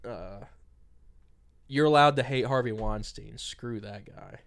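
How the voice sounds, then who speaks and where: A man's voice: mid-range voice, measured pace, vocal fry, fairly bright tone, slight background noise. A young man co-hosting a podcast, chatting casually and rambling with lots of fillers, a bit stuffy from a cold. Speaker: podcast co-host. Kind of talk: casual chat.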